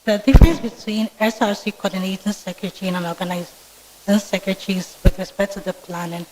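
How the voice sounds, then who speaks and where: A soft-spoken young woman in her twenties with a Ghanaian English accent, giving polite, formal answers as a student candidate in a vetting interview. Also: medium pitch, steady tone, quick pace, slight background noise, poor audio. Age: 20s. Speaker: student candidate being vetted. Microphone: microphone with feedback.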